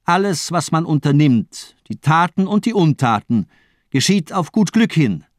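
An actor playing a cold, cynical doctor villain in a radio crime drama, speaking eloquently with mocking elegance. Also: male voice, fairly high pitch, very animated, dry recording, clean studio sound. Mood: scornful lecturing. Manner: eloquent, playful, mocking, deliberate monologuing.

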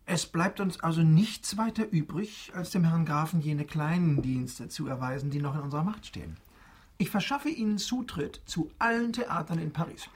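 Husky young aristocrat, eager and urbane. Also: male voice, fairly high pitch, moderate pace. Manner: urbane, talkative, courteous. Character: young Parisian viscount, male, eager, chatty, gallant son. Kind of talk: German radio drama acting.

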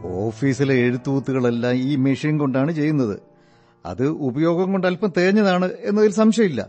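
A man's voice: a little high, lively intonation, moderate pace, a little mellow, natural voice. An actor playing an evasive, self-important stepfather in a radio drama, formal and defensive, outwardly polite but easily rattled. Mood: guarded. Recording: dull lo-fi radio recording, music behind.

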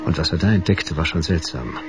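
A man's voice: very deep voice, fairly quick, over music. An actor playing a wry, world-weary private detective, narrating his case in a dry, laconic noir style for radio drama. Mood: dry, sardonic.

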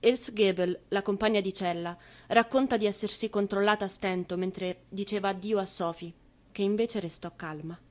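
A woman narrates a scripted drama in a medium-pitched voice. Her delivery is solemn and deliberate, in the manner of a stage actress reciting for radio. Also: fairly even intonation.

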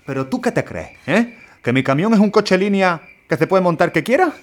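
A man's voice: high-pitched, big pitch swings, normal speed. A man playing a selfish, grudging truck driver in an audio drama, blunt and sweary. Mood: indignant, grudging. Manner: blunt, swearing, stammering in protest.

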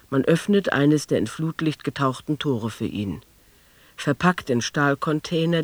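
A man narrating a literary radio drama in a deliberate, observational manner. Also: on the high side, no room sound.

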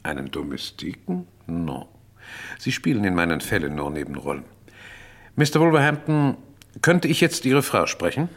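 Courteous eccentric detective, lowish voice, very animated intonation, probing, radio mystery. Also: male voice, moderate pace, heavily acted. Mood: self-assured. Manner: theatrical, sprinkles speech with French phrases. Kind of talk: radio play acting.

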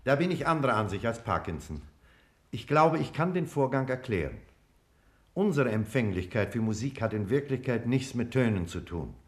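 An actor playing a learned, analytical scientist in a 1950s radio play, speaking in a measured, didactic way. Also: male voice, mid-range voice, natural voice. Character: learned male scientist and narrator, sober and analytical.

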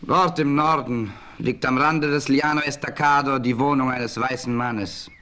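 Medium-pitched man, dignified western chief, formal declamation, loyal ally, faint noise, audio drama. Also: fairly even intonation, unhurried, sharp tone. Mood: measured, confiding. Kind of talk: German radio play acting.

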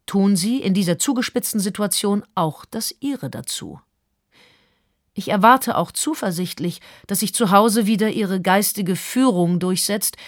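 An actress playing a formal, composed female psychiatrist, reading an admonishing letter in a measured, authoritative way in a radio play. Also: medium pitch, no room sound, studio quality.

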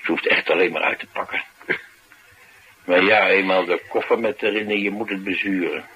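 Deep-voiced, streetwise driver, wry and brooding. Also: male voice, steady tone, normal speed, sharp tone. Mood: wry, knowing. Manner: colloquial, confiding, musing. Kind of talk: naturalistic radio drama acting. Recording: noisy radio drama recording.

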